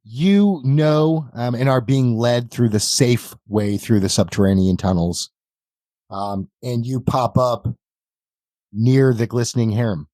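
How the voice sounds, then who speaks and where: A man narrating a tabletop game as game master, halting between phrases. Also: medium pitch, warm tone, no room sound.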